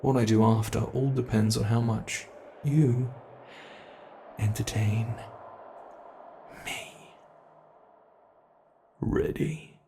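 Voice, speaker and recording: A British man voices a predatory monster from under the bed, drawling each taunt with deliberate slowness. His voice is rough with fry, and it sinks to whispers as he toys with his prey. Faint noise hums beneath the recording. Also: mid-range voice, fairly even intonation, dark tone. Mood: gleeful, predatory. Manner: slow, taunting and playful, dropping to whispers. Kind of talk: ASMR horror roleplay.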